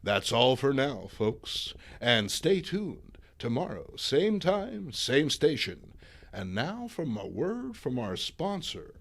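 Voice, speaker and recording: A man's voice: mid-range voice, big pitch swings, takes it slowly, full character voice. A man playing a television talk show host with formal announcer patter, in a comic book reading. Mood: brisk.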